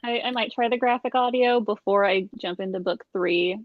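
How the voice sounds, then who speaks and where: A woman's voice: mid-range voice, moderate pace, dry recording, dull audio. A woman talking casually as a panelist in a live book club chat.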